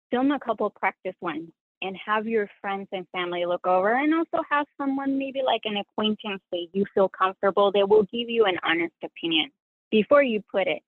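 A woman's voice: mid-range voice, dry recording. A woman whose first language is Spanish, a sewing content creator speaking as a guest in an interview, in an earnest, reflective, self-critical way. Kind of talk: interview conversation. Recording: tinny dry phone line.

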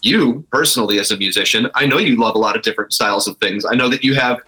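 A man's voice: medium pitch, fast, sharp tone, low-quality recording. A man speaking as an interview guest, telling things in an informal, rambling way. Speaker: guest, brewery co-owner. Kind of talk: casual interview storytelling.

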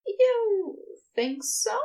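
Woman voicing a high, fussy, polite gentleman. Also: big pitch swings, very slow, full character voice. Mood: nervous, hopeful. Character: prim, nervous, gushing soft-hearted gentleman archivist.

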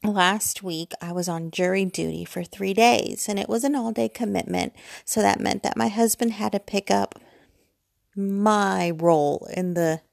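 Chatty American woman, creaky voice. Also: medium pitch, fairly even intonation, a little slow, no room sound, studio quality. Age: 40s. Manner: chatty, self-deprecating, digressive. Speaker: vlogger. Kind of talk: casual rambling personal chat. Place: bedroom at home, lying in bed.

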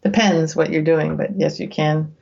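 A woman reading research aloud as a guest, with casual, bemused asides, thinking out loud in a conversational way. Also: deep voice, steady tone, normal speed, creaky, breathy edge, dull audio. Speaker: guest reader reacting to research papers.

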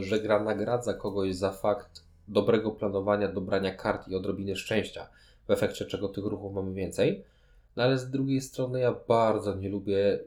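A man's voice: fairly low pitch, darkish tone. A man talking as a podcast host, reviewing board games conversationally in long, explanatory sentences.

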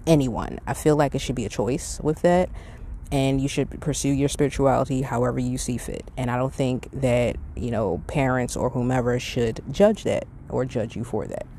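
A woman's voice: rumbling low, normal speed, warm tone, slight background noise. A middle-aged woman hosting a podcast, talking in a casual, reflective monologue with an earnest, conversational and emphatic manner. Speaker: podcast host.

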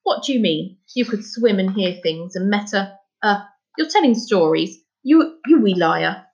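A woman's voice: medium pitch, lively intonation, a little slow, darkish tone, lo-fi sound. A woman voicing a stern, troubled father in a storybook, with blunt, scolding, folksy speech. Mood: dismissive. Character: stern, anguished Scottish father, gruff and scolding.

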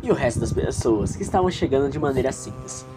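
Animated Brazilian man narrating a story. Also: mid-range voice, quick pace, over music. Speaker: narrator and author of an audio drama. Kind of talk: dramatic storytelling narration with casual asides.

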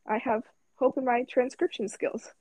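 A young woman co-hosting a podcast, relaxed and hesitant. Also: a little high, moderate pace, no echo. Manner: relaxed, laughs often, hesitant. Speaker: podcast co-host. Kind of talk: casual chat.

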